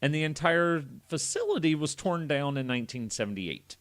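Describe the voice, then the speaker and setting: A man's voice: fairly high pitch, animated, normal speed, a bit bright. A man on a podcast panel telling a story in a casual, joking, profane way with banter.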